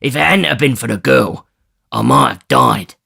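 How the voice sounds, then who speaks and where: A man voicing a brutal, surly male housebreaker who growls his words gruffly and forcefully in a storybook reading. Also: mid-range voice, normal speed, full character voice. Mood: bitter. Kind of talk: audiobook character reading.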